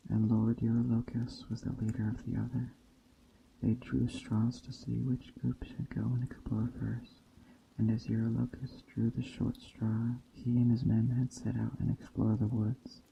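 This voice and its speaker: A man reading a story aloud in a soft ASMR style, slowly and deliberately, in a hushed bedtime storytelling manner. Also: mid-range voice, vocal fry, slight background noise. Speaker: storyteller, narrator. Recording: dull muffled sound, faint background ambience. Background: soft nature ambience.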